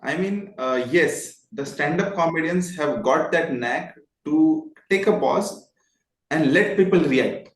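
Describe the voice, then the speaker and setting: Indian-accented man, slightly high voice, expressive and polite explainer, roomy echoing sound. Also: takes it slowly. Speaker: guest, voice modulation trainer. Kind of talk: conversational interview explanation.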